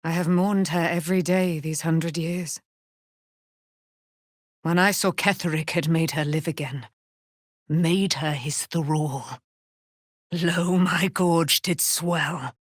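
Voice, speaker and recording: An actress playing a proud, wrathful immortal warrior paladin in a fantasy video game, declaiming in grand archaic, formal language. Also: female voice, on the low side, slow pace, no echo, studio quality. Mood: grieving.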